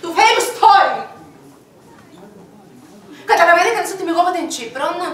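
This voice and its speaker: Woman doing a cypriot-accent diva wife, whiny and dramatic, super high voice, echoey room far off mic. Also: lively intonation. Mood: indignant. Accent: Cypriot Greek. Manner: whiny, theatrical complaining. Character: whiny Cypriot wife, aspiring nightclub singer. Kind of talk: comedy sketch acting.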